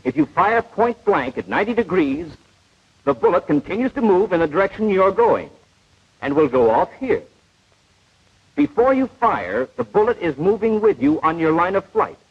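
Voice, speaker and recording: A man playing a patient, precise, didactic gunnery instructor in a 1940s animated training film. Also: sits high, normal speed, husky, full character voice. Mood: instructive. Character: patient, know-it-all male gunnery instructor, precise and unflappable. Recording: dull vintage 1940s film soundtrack.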